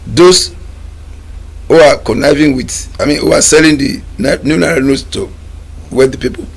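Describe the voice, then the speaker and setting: A man's voice: fairly high pitch, creaky, husky. A middle-aged man with a Nigerian accent, a guest on a studio talk show, talking emphatically and repeating words as he gathers his thoughts. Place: TV studio lounge set.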